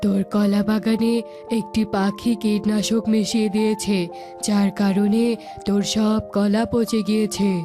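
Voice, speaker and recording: A woman voicing a solemn male holy hermit with magic powers, speaking in measured, authoritative pronouncements for a cartoon. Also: medium pitch, level tone, close to an everyday voice. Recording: music behind the voice.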